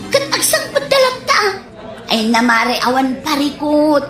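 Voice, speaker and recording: A woman's voice: high voice, very animated, unhurried. A woman playing a show-off, spendthrift wife and mother in a radio comedy drama, with an Ilocano Filipino accent. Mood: breezy, showy. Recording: echoey room, music behind.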